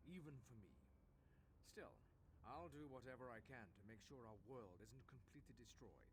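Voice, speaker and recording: An actor playing a proud, composed fantasy game mage with a husky, formal delivery. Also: male voice, medium pitch, moderate pace, no echo, close to an everyday voice, rough recording. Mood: composed resolve.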